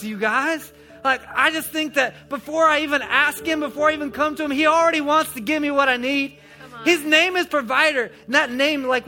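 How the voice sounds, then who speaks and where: Male preacher, high-pitched and energetic, live sermon over instrumental music. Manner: energetic, conversational, swells to shouting. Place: church hall before a congregation.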